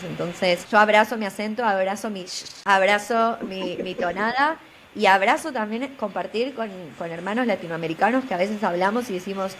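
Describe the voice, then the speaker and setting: A woman's voice: mid-range voice, a little hiss or hum. An earnest young woman from Argentina with a Buenos Aires accent, giving encouraging advice as an interview guest over a video call. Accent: Buenos Aires Spanish. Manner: earnest, encouraging, repeats phrases for emphasis. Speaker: interview guest, career coach. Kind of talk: motivational interview talk.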